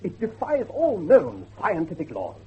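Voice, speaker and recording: An actor playing an earnest, excitable scholar of werewolves, professorial and halting, with a husky voice in a horror drama. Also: male voice, high voice, muffled recording, slight background noise, heavily acted. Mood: earnest, ominous. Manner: professorial, hesitant, halting emphasis. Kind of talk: dramatic horror dialogue acting.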